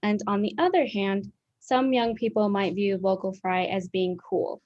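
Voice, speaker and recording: Young female student presenter, clear scripted read, muffled lo-fi recording. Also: medium pitch, a little slow, a little mellow. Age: young adult. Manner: clear, explanatory reading. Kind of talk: scripted educational narration. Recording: dull lo-fi sound.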